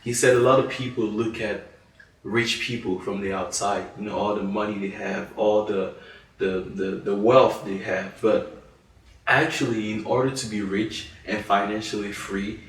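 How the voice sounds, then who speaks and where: Deep-voiced man, echoey room. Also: steady tone, normal speed. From Cameroon. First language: French. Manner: earnest, thoughtful, with many you-knows and restarts. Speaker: podcast co-host. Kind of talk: casual discussion. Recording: echoey room, far from mic.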